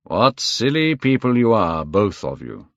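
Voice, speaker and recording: A man doing a low, drawling cynical aristocrat. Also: sing-song, a little slow, a bit bright, no room sound, full character voice. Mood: mocking. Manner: dry and drawling. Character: languid, cynical male aristocrat. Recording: slightly muffled dry recording.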